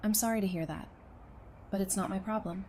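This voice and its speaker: A woman's voice: mid-range voice, brisk, dark tone, a little hiss or hum, lightly acted. A woman playing a tender but determined wife in an apocalyptic audio drama, earnest and plainspoken. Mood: firm, defiant. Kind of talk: full-cast audio drama acting.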